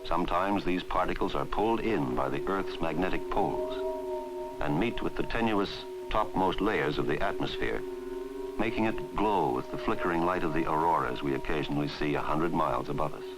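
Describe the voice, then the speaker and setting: Very deep-voiced man narrating a 1960s film. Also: rough recording. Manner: measured, formal delivery. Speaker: documentary narrator. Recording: 1960s film soundtrack, loud music. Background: loud background music.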